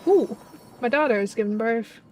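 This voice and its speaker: A young woman with a Dutch accent that picks up British and Scottish inflections, talking loosely to her chat as she plays. Her voice sits a little high, and she rambles with frequent ums and self-corrections. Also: animated, unhurried, darkish tone, faint music behind, poor audio. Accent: Dutch with British and Scottish traces. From Netherlands. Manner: chatty, frequent ums, reads chat aloud. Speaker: streamer. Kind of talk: casual gaming stream chat.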